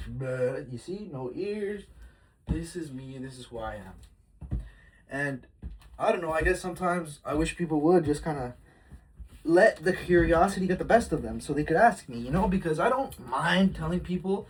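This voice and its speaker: A man's voice: fairly high pitch, lively intonation, normal speed, a little hiss or hum, low-quality recording. A man of twenty-one talking to his phone camera in a candid, rambling vlog, reflective and heartfelt, with frequent fillers. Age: early 20s. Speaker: vlogger. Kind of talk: casual confessional vlog.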